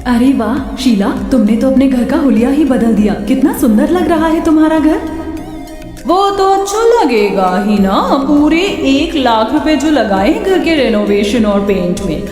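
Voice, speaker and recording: A woman's voice: high-pitched, lively intonation, unhurried, darkish tone. A woman voicing village housewives in a cartoon story, theatrical and complaining. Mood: haughty, mocking. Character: poor village daughters-in-law and neighbours, dramatic and plaintive. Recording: very echoey hall reverb. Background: faint music.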